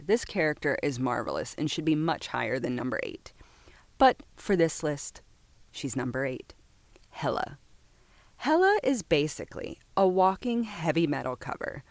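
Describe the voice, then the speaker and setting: A woman with a low-pitched voice presents a list in a casual, reviewer's style. Her delivery swings widely in pitch, moving between read script and blunt, informal asides. The recording is close and dry, with very little room sound. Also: no echo. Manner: opinionated asides, informal, swearing. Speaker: reviewer reading a comics top-ten list.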